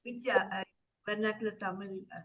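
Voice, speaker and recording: A woman's voice: mid-range voice, fairly even intonation, a little fast, fairly bright tone. A woman, a poet on a panel, telling anecdotes casually. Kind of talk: panel discussion.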